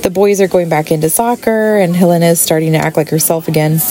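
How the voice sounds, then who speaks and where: A woman's voice: lowish voice, steady tone, quick pace, mellow, lightly acted. A woman playing a caring, busy mother in a radio play, with naturalistic acting. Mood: friendly, reassuring.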